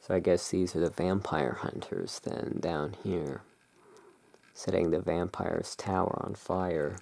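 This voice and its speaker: A person doing a relaxing gothic ASMR roleplay, reading tarot cards aloud and commenting on them in a hushed, unhurried way. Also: deep voice, fairly even intonation, gravelly. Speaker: ASMR creator, tarot deck reviewer.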